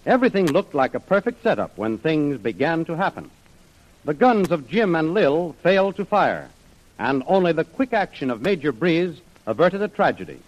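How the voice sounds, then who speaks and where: A man's voice: fairly high pitch, sing-song, normal speed. A man narrating a 1930s radio adventure serial as its announcer, reading from a script. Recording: dull old radio recording with music. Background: music.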